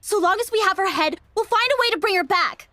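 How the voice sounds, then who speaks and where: A woman's voice: very high-pitched, expressive, fast, pushed and bright, no room sound, exaggerated voice. A woman voicing a feisty, irritable young female android soldier in an anime game, brash and exasperated. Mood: urgent, forceful.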